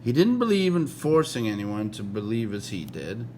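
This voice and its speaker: A man's voice: lowish voice, very animated, darkish tone. American man reading aloud, with background hum. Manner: halting delivery, stumbling and correcting himself. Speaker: read-along host reading a history book aloud. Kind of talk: informal read-aloud with casual asides.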